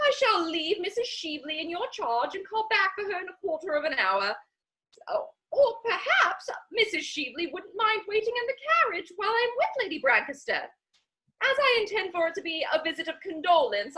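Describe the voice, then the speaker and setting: Gushing Victorian society lady for stage comedy; chatty, very bright, animated. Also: very high voice, normal speed, full character voice, poor audio. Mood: gossipy, airy. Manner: gushing, rambling, theatrical. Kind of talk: stage comedy dramatic reading.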